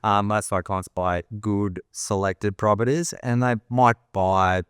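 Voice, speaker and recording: Male host, low voice, dry close sound, halting delivery with restarts. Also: steady tone, smooth, no echo. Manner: hesitant, repeats words. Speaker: host and property adviser. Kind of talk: casual interview.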